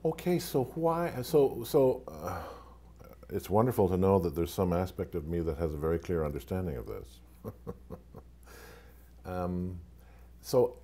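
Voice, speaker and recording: Deep-voiced hesitant male questioner. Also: animated, a little slow, long pauses, warm tone. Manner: hesitant, with fillers and light laughs. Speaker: interviewer asking questions. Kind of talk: casual conversation.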